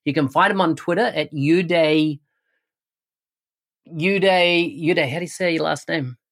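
A man's voice: fairly high pitch, measured pace, a bit bright. A man hosting a podcast interview, upbeat and enthusiastic as he welcomes a guest and asks questions. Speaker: podcast host.

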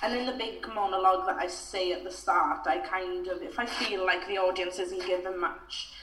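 A woman's voice: on the low side, steady tone, moderate pace, fairly bright tone, far from the mic. A young woman with a Welsh accent chats openly about her stage work, laughing easily and padding her sentences with fillers. Her voice sits back from the microphone in a roomy, echoing space. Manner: chatty, laughs often, frequent fillers. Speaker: actor, interview guest. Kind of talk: casual interview talk. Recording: echoey room, voice from off mic.

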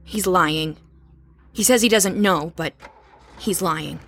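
A woman plays a suspicious young woman in an audio drama. Her voice sits a little low, grave and insistent, over faint vehicle ambience. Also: takes it slowly, a bit bright, a little hiss or hum. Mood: suspicious, worried. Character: suspicious young woman worried for missing friend.

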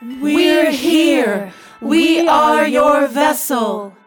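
A woman's voice: sits high, expressive, very slow, heavily acted. A woman playing an entranced cult follower in an audio play, chanting ritual responses. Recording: very echoey, music behind.

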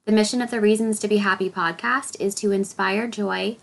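A woman's voice: medium pitch, steady tone, a little fast, creaky. A woman hosting her solo podcast, a little nasal and congested from a head cold, explaining a topic in an upbeat way. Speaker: podcast host.

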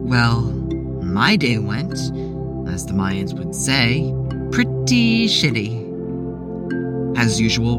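A woman playing a sardonic, world-weary seventeen-year-old patient in an audio drama, dry and hesitant. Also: rumbling low. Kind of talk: audio drama monologue and dialogue. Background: music.

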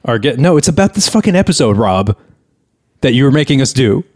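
A man's voice: mid-range voice, sing-song, fairly quick. A man hosting a podcast in casual comedic banter, sounding grumbling and exasperated. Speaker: podcast host.

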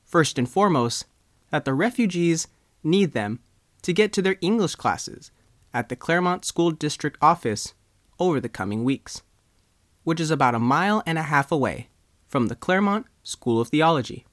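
A man reading a scripted news story as a reporter, in measured, short phrases. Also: a little high, lively intonation, fairly bright tone, no echo, studio quality. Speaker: news reporter and writer reading own article.

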